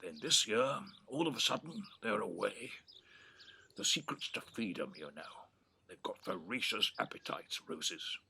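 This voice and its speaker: Creaky old English gardener. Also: male voice, lowish voice, expressive, a little slow, pushed and bright, slight background noise, full character voice. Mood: dryly enthusiastic. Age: older. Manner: dry, clipped, lecturing on plants. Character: elderly gardener husband, dry, stubborn, devoted to roses. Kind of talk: naturalistic audio play acting. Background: birdsong.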